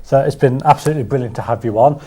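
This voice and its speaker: Male co-host, mid pitch, upbeat and encouraging. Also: rapid-fire, warm tone. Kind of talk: studio chat show hosting.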